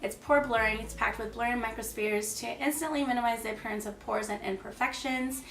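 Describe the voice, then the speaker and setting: Hoarse young woman, chatty beauty review. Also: on the high side, steady tone, a little fast, fairly bright tone, far from the mic, soft background music. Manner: chatty and enthusiastic, many fillers. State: hoarse, losing her voice. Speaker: beauty vlogger. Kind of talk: casual product review. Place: small room, plain wall. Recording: echoey room, slightly off-mic.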